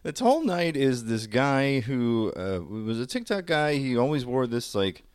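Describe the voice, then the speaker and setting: A man's voice: mid-range voice, very animated, a little slow, clean tone, no echo, studio quality. A man co-hosting a casual comedy podcast, telling stories in a wry, wordy way with wisecracks. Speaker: podcast co-host.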